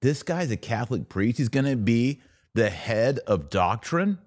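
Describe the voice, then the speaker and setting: A man's voice: mid-range voice, big pitch swings, unhurried, a little mellow, no echo. A middle-aged American man hosting a podcast, giving opinionated religious commentary in an informal, slangy, mocking and incredulous way.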